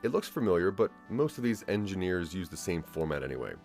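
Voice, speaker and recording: A man narrating a scripted audio drama in the second person, with a measured storytelling delivery. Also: low-pitched, big pitch swings, a little mellow, faint music behind.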